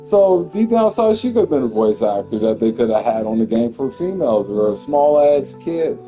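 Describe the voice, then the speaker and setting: A man's voice: mid-range voice, big pitch swings, brisk, warm tone, soft background music, low-quality recording. Laid-back young man chatting over a game. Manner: laid-back, swears casually, rambling. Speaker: gamer playing on stream. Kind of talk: casual chat while gaming.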